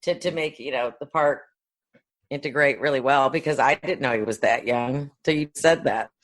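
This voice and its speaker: A woman's voice: a bass voice, moderate pace. A woman co-hosting a podcast, talking in a casual, agreeable, conversational way about film.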